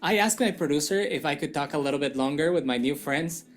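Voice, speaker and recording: A woman's voice: low voice, moderate pace, soft background music. A woman hosting a scripted language-lesson video in a playful, jokey manner. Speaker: video host.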